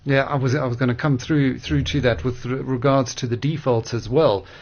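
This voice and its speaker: A man conducts a radio discussion. He is articulate and measured, with formal phrasing and a middling pitch. The audio is a little dull and lo-fi. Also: fairly even intonation. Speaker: radio interviewer.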